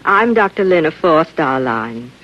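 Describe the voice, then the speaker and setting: An actress playing a young, composed, inquisitive space-line doctor in a 1950s radio drama. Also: female voice, low-pitched, sing-song, measured pace, full character voice. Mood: cool, curious. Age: young adult. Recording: dull, muffled old radio recording.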